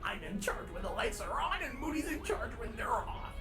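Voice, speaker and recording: Upbeat male robot daycare worker, lively sing-song voice, game music behind, played by a woman. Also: on the high side, normal speed. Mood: cheerful. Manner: bubbly, chirpy, giggly. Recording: music in background.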